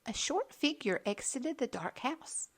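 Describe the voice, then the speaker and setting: A woman narrates a children's picture book. Her voice is fairly high, and she takes her time over each phrase with wide, lively swings in pitch. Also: unhurried, husky. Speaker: children's storyteller. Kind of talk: animated read-aloud of a children's picture book.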